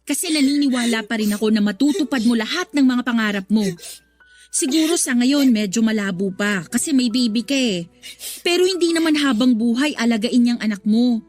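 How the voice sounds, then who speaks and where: A caring best friend. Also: female voice, fairly high pitch, lively intonation, a little fast, faint music behind. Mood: encouraging. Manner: reassuring, encouraging. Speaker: radio drama actor. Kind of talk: Tagalog radio drama acting.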